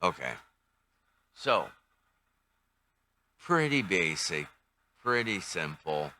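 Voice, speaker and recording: An older man giving a casual hands-on product review, thinking aloud in an unhurried, tentative way. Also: low voice, big pitch swings, bright, forceful tone, a little hiss or hum. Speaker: radio reviewer.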